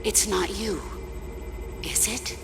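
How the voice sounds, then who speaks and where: An actress playing a wistful artificial intelligence, a detached, searching, reverberant machine voice in a video game. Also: female voice, medium pitch, slow, very noisy. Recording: long echoing reverb, loud music.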